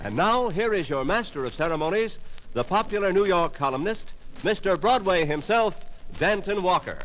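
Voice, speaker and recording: An American man announces in grand, formal 1950s radio style, with a highish voice that swings widely in pitch. His scripted delivery is showy, and music plays clearly in the vintage broadcast. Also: animated, moderate pace, fairly bright tone, rough recording.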